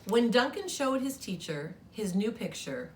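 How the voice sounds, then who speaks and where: A woman's voice: mid-range voice, sing-song, measured pace, darkish tone, far from the mic, a little hiss or hum. A woman who works at a school, reading a children's picture book aloud. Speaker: story reader, school staff member.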